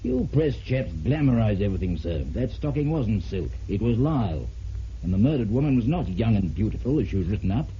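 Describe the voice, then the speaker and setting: Low, dark weary inspector. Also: male voice, sing-song, brisk. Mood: dry. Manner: blunt, dry. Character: gruff, dry, weary police inspector. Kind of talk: vintage radio drama acting. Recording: muffled vintage radio with faint noise.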